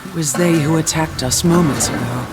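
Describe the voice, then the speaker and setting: A woman's voice: normal speed, very noisy, lightly acted. A woman voicing a proud, weary angel commander in a video game, formal, stern and solemn in delivery. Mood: grave, foreboding. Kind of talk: dramatic video game acting. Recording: loud music behind, rumbling ambience.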